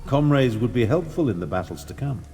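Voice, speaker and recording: An actor playing a haughty, calculating grand chancellor in a video game, with a pompous and deliberate delivery. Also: male voice, medium pitch, expressive, dark tone, natural voice. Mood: considering. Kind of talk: video game dialogue acting. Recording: long reverb, clear music behind.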